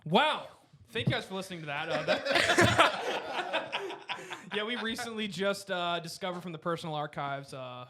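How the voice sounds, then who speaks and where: A man's voice: high voice, big pitch swings, normal speed, heavy background noise. A man in his twenties hosting a show, chatting casually in a joking manner. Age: 20s. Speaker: show host. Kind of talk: casual chat.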